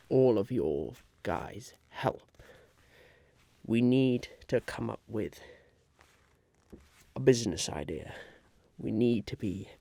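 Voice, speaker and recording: A man playing a polite, harried would-be businessman chairing a meeting in a soft-spoken, halting ASMR roleplay. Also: lowish voice, creaky, heavily acted. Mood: earnest, welcoming. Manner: hesitant, halting, politely patient.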